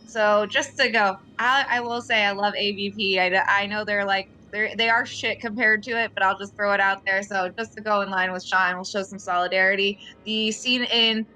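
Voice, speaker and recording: A woman's voice: mid-range voice, steady tone, normal speed, hardly any pauses, sharp tone. A woman playing a tabletop game, chatting casually in a blunt, sweary, playful way. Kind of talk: casual chat.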